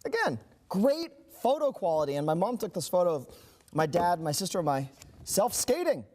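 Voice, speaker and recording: A male consumer reporter delivers a broadcast savings segment with enthusiasm. His voice sits high for a man and swings up and down animatedly, with a keen, selling manner. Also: big pitch swings, normal speed, darkish tone. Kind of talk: upbeat broadcast deals segment.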